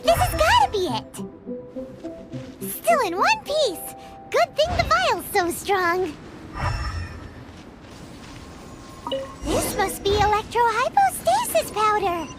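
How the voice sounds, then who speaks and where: A squeaky fairy companion. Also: sing-song, measured pace, exaggerated voice. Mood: excited. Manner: refers to herself in the third person, squeals. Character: tiny floating female fairy companion, chirpy, greedy, excitable. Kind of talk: video game voice acting. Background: game music.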